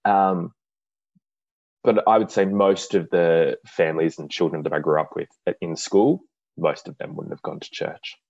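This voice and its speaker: A young Australian man with an Australian accent, chatting as a podcast guest in a relaxed way with dry humor. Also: sits low, normal speed, vocal fry, dry recording, lo-fi sound. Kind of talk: casual interview.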